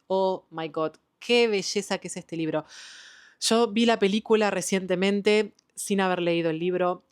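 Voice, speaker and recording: A woman with an Argentine Spanish accent, chatting enthusiastically about the books she has been reading, with frequent "eh" and "em" fillers. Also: fairly low pitch. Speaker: book vlogger. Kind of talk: casual book wrap-up chat.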